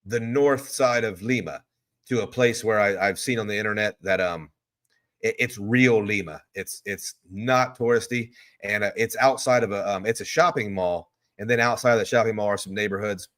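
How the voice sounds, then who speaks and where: A man's voice: lowish voice, moderate pace, a bit bright. A man, hosting a live stream from a hotel room. He rambles casually and answers viewer questions one after another.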